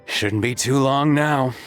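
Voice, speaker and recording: A man voicing a scheming, slippery henchman in a video game, smug and taunting. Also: medium pitch. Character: scheming male henchman, smug, slippery, devoted to his boss.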